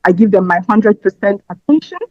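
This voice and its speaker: A woman's voice: mid-range voice, lively intonation, fairly quick, no echo. A woman, chatting as a podcast guest, talking in a rambling way with fillers and restarts. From Nigeria. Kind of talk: casual interview answers. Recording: slightly muffled dry audio.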